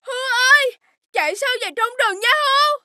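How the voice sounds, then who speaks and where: A woman voicing a kind, earnest small boy in an animated folk tale, with a childlike, expressive delivery. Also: very high voice, fast talker, dry recording, clean studio sound, full character voice. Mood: desperate. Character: small boy, kind, pleading, devoted to a young deer. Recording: music under the voice.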